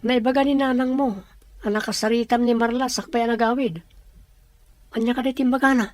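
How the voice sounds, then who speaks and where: A woman acts a probing, persistent questioner in an Ilocano radio drama. The voice is fairly high, with an Ilocano accent, and keeps pressing for answers. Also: steady tone, brisk, soft background music, lightly acted. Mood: inquiring. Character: probing, persistent questioner digging into a suspicious death.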